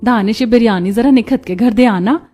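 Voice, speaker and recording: A woman's voice: a little high, moderate pace, darkish tone, faint music behind, lightly acted. A woman voicing a young woman in a cartoon family story. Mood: friendly. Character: young women of a neighbourhood, sweethearts and daughters-in-law. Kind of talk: cartoon voice acting.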